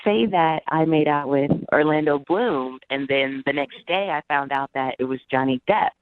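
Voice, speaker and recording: A woman's voice: low voice, moderate pace. A woman guest on a radio dating segment, chatting casually and bluntly over a phone line. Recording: tinny band-limited phone line.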